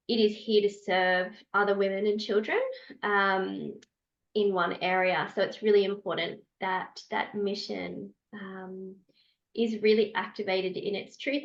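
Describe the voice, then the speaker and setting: A woman who runs a small business and teaches yoga, introducing herself earnestly to a group, pausing often to find her words. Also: mid-range voice, lo-fi sound. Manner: earnest, searching, many pauses and fillers.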